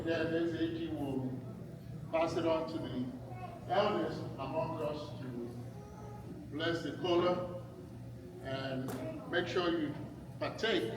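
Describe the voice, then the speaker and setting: A man, leading a community association, giving a formal, deliberate welcome speech. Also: a little high, noisy background. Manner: formal and deliberate, greeting the crowd. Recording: echoey hall with a crowd, far from mic.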